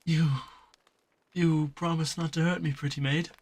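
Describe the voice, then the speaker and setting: A man voicing a frightened small hybrid jackalope creature in an audio drama, speaking haltingly in a raspy, creaky voice. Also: on the high side, flat delivery, dry recording. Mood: fearful, pleading. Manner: halting, timid, stammering.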